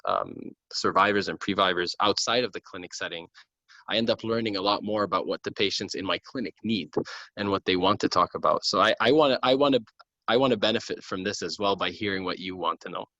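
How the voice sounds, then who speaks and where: Deep-voiced man lecturing. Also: quick pace, bright, forceful tone, no echo. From Lebanon. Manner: earnest, frequent ums and restarts. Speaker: gynecologic oncologist giving a lecture. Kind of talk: informal lecture over a video call. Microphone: computer mic on a video call. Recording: dull, dry video call audio.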